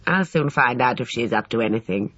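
A narrator voicing a charming, scheming, suspicious English gentleman who talks glibly and complains, in an audiobook. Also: mid-range voice, animated, fairly quick, breathy edge, no room sound, lo-fi sound.

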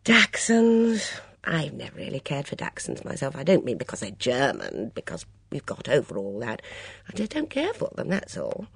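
Casting note: fussy, gossipy middle-aged mother, as read by a woman, lively sing-song delivery, husky tone. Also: extremely low pitch, normal speed, no room sound, heavily acted. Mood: chattering. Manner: prattling, disapproving asides.

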